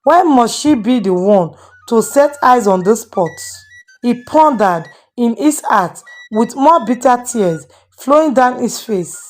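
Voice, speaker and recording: A woman's voice: fairly high pitch, expressive, takes it slowly, darkish tone, soft background music. A woman storyteller hosting and dramatically narrating a moral tale. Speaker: storyteller and channel host.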